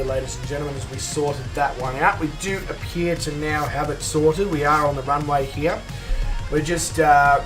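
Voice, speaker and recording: A hoarse Australian man recovering from a lost voice, chatting casually with viewers while live-streaming a flight simulator. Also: fairly high pitch, recorded from a distance. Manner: chatty, greets viewers, thinks aloud with many fillers. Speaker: game streamer. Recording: a bit off-mic with music behind.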